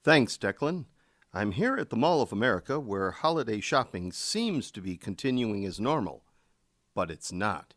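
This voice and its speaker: A man's voice: on the low side, very animated, normal speed, a bit bright, no room sound, clean studio sound. A man playing an overwrought, zealous field news correspondent in a satirical radio drama. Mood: overwrought, earnest. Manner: melodramatic.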